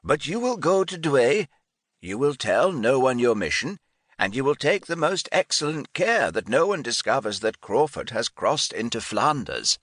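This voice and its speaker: A man's voice: mid-range voice, sing-song, normal speed, a bit bright, dry recording, clean studio sound, pitched above the performer's own voice. A man voicing an irascible grey-bearded English lord and commander in an audiobook, speaking formally and with imperious emphasis. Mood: commanding.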